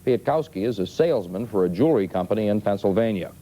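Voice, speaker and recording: A man's voice: on the low side, animated, fast, mellow, poor audio. An American man reading the news as a broadcast anchor.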